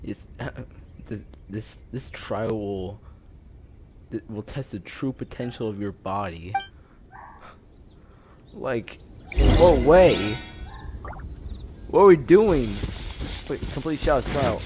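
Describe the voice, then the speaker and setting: A man giving casual gameplay commentary in a halting way, repeating his words. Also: medium pitch, lively intonation, noisy background. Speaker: gamer playing and reacting. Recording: loud game music behind. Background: video game music.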